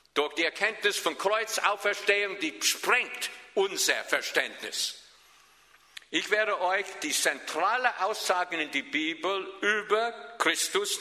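A Swabian-accented man preaches with a very bright, cutting tone and a voice sitting a little high for a man. He presses his points hard and keeps checking in with his congregation. His words ring out with the long reverb of a large hall. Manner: emphatic, often asks the listeners questions. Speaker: pastor. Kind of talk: sermon.

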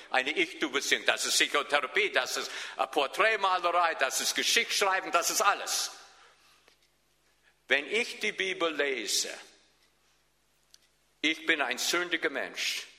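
A Swabian-accented man preaches with a very bright, cutting tone and a voice sitting a little high for a man. He presses his points hard and keeps checking in with his congregation. His words ring out with the long reverb of a large hall. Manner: emphatic, often asks the listeners questions. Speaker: pastor. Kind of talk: sermon.

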